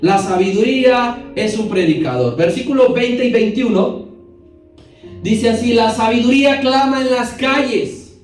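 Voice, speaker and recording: A man with a very high voice teaches a Bible class, explaining each verse and turning to his listeners with questions. His voice rings through a hugely echoing hall, picked up from some distance. Faint music sits underneath. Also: measured pace.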